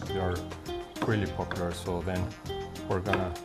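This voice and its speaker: A man from Mexico City with a Mexican Spanish accent, a guest demonstrating a recipe in a kitchen and talking haltingly with many pauses and fillers. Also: low voice, little pitch movement. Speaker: guest cook. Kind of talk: cooking demonstration. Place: home kitchen. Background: background music and sizzling pan.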